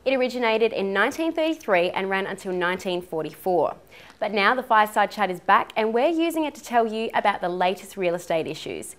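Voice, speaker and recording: A woman's voice: medium pitch, lively intonation, brisk. Woman announcing a show intro. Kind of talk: scripted intro voice-over.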